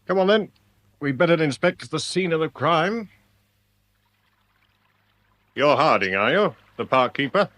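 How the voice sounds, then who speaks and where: A man's voice: a little high, expressive, a little slow, pauses often, fairly bright tone, dry recording. A man with an English accent playing a brusque, sardonic chief inspector in a radio drama, with curt, dry questioning. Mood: brusque, suspicious.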